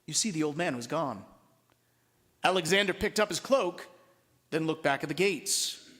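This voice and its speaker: A priest, a man, reading a story aloud with expressive, deliberate storybook narration. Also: on the high side. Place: large church at a Mass. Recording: long reverberant hall.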